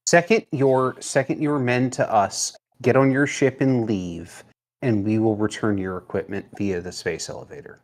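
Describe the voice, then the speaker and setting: A man's voice: medium pitch, steady tone, normal speed. A man playing a tabletop roleplaying game, speaking in character in a direct, matter-of-fact way.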